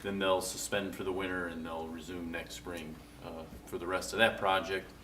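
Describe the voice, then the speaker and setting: A man's voice: low voice, little pitch movement, normal speed, pushed and bright, far from the mic. A man giving a matter-of-fact project update as a city director at a council meeting, with frequent uhs. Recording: off-mic with faint noise.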